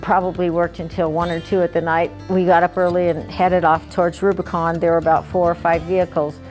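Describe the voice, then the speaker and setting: A woman's voice: lowish voice, flat delivery, fairly quick, darkish tone, faint music behind, poor audio. An American woman in her seventies, casually reminiscing about family life in everyday conversation. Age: 70s. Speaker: wife recalling family trips. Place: outdoors on a driveway by an open garage.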